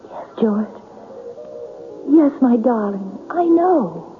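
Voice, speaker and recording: Music plays clearly behind a tinny old radio recording. a hopeless, lovelorn young woman with a high voice, plaintive and hesitant, in 1970s radio drama style. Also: lively intonation, slow. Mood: mournful, tender. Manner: plaintive, hesitant, breaking phrases.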